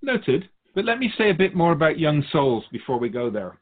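A man speaking as a spiritual guide, explaining things in a measured, didactic, conversational way. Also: a little high, big pitch swings, narrow, tinny audio.

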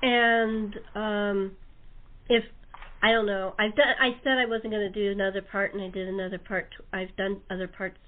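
A woman hosting a casual panel chat, talking informally and in a rambling way as she prompts others. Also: mid-range voice. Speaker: host and radio-play producer. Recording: phone-like narrow-band sound.

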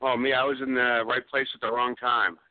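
A man's voice: mid-range voice, level tone, brisk, no echo. A man chatting casually as an interview guest, with a wry, joking manner. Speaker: guest, special effects worker. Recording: phone-like narrow-band audio.

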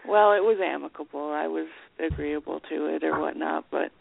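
A woman's voice: low-pitched, brisk, creaky, husky, dry recording. A middle-aged woman chatting casually as a radio show co-host, over a narrow phone-like line. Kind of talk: casual chat.

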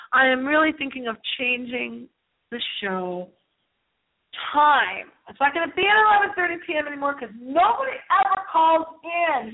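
Thin, phone-like audio carries a woman with a high-pitched voice. She hosts a late-night style paranormal radio show, rambling along casually with dramatic, theatrical flair.

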